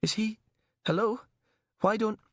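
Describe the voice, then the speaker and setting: Audiobook thriller: male reader as panicking captive man, high, pleading, tearful, frantic. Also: unhurried, no room sound, studio quality. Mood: panicked. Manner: pleading, terrified.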